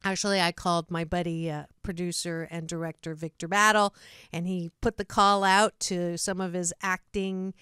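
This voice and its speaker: A woman's voice: on the low side, little pitch movement, normal speed, pushed and bright, clean tone, no echo, clean studio sound. A middle-aged woman hosting a radio talk show, chatty and bubbly, laughing often and restarting her sentences. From Canada. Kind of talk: casual radio chat with guests.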